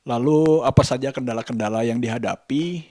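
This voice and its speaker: A man's voice: medium pitch, mellow, dry recording, studio quality. A man talking reflectively in a casual vlog, hesitant and often searching for words. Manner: hesitant, halting, searching for words with repeated phrases. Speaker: vlogger and host.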